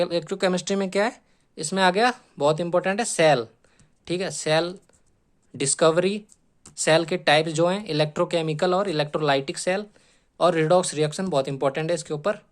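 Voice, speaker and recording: A man giving an instructional exam syllabus lecture as a coaching teacher, often adding short check-in tags and mixing in English terms. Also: fairly high pitch.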